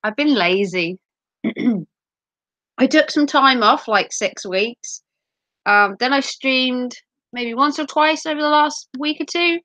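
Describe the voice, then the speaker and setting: Sick British woman, wheezy and bright. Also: high-pitched, slow pace, pauses often, no room sound. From United Kingdom. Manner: rambling, self-mocking jokes, many ums and throat clearing. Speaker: livestream host. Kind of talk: casual livestream chat. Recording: dull lo-fi sound.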